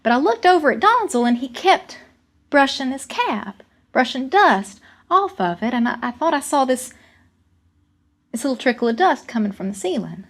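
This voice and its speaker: A woman playing a plainspoken young coal miner, telling a story in halting, folksy rural speech with repeated words. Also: high-pitched, sing-song, exaggerated voice. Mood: reminiscing. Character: young coal miner recalling a deadly cave-in, plainspoken, earnest. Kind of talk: dramatic first-person storytelling monologue.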